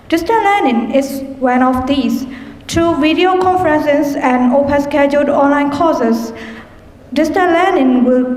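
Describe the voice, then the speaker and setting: A young woman from Myanmar, giving a formal debate speech and reading out prepared points in a measured way. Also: high-pitched, darkish tone. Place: debate hall. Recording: echoey hall with long reverb.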